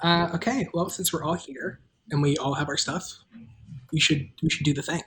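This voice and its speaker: A woman's voice: low-pitched, fairly even intonation, normal speed, a bit bright. A woman hosting and narrating a table read of her own script, informal and self-deprecating, moving between casual chat and scripted narration.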